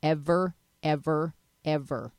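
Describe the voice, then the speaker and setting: A woman hosting a teen panel talk show in a studio, trading quick jokes and asides. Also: low-pitched, steady tone, over a noisy crowd. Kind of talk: casual studio chat.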